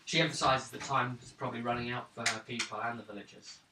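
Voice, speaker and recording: A man with a mid-pitched voice runs a tabletop role-playing game as game master. He narrates haltingly, repeating words. He sounds roomy and away from the microphone, with clear noise behind. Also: steady tone. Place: indoors around a gaming table. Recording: roomy, distant, noisy table recording.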